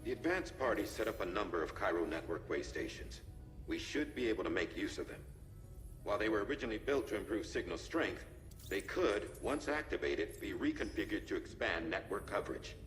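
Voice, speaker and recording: An actor playing a calm crew adviser in a video game, explaining things in a measured way. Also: male voice, lowish voice, long reverb tail, soft background music. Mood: composed. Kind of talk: video game cutscene acting.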